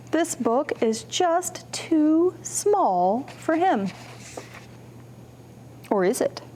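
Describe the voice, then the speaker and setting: A woman hosting a children's storytime, reading and explaining in simple, deliberate phrases for young children. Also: on the high side, a little mellow, slight background noise.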